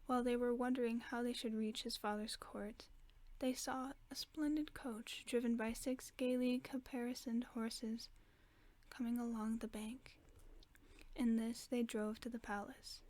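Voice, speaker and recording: A woman voicing a gentle, caring werewolf friend, reading a bedtime story in a soft, often whispered voice for a sleep-aid roleplay. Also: a little high, flat delivery, no room sound, natural voice. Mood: soothing. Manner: slow soothing bedtime reading.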